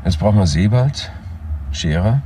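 A man's voice: fairly low pitch, lively intonation, unhurried, dark tone. An actor playing a detective's assistant in a crime radio play, casual and conversational as he reasons. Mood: musing. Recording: noisy background.

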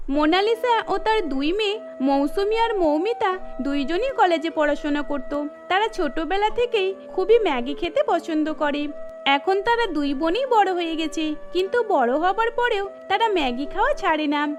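Female cartoon narrator, very high voice, scripted storytelling, light background music. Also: expressive, moderate pace.

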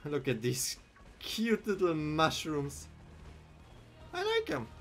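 Young German-accented male streamer, headset, slightly high voice, chatty live commentary over faint game music. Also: huge pitch swings, slow, creaky. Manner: chatty, thinking aloud, answers chat. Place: small room indoors.

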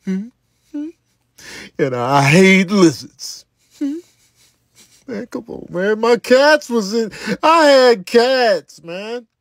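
A man performing a comedic skit monologue in a lamenting, drawn-out manner. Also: high-pitched, sing-song, no echo.

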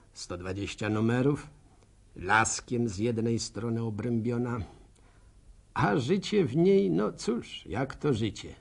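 Gravelly, slow-talking village postman. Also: mid-range voice, darkish tone, full character voice. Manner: rambling, colloquial, wry rural storyteller. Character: chatty, self-deprecating, envious middle-aged village postman with a limp. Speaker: narrator of a radio serial. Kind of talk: radio drama monologue, folksy storytelling to listeners.

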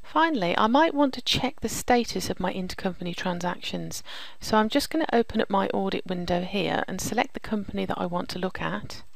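A woman narrating a software demonstration as a presenter, explaining things step by step. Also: mid-range voice, brisk, vocal fry, hardly any pauses, low-quality recording. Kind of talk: scripted software demo narration.

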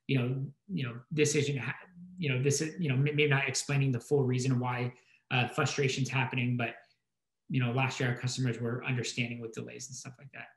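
A man's voice: medium pitch, a bit bright. A man in his thirties answering conversationally as a business panelist, rambling and hesitant, over a video call. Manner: hesitant, filler-heavy, rambling. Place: indoor room at home or office, on a video call. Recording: roomy, echoey video call.

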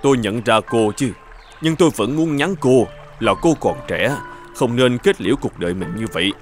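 A man plays a soft-hearted middle-aged merchant from a cartoon folk tale. He speaks politely and earnestly, with very animated pitch over background music. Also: moderate pace, close to an everyday voice.

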